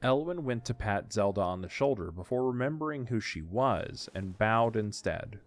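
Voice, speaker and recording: A man's voice: lowish voice, expressive, moderate pace, faint music behind. A man narrating an audiodrama as scripted story narration.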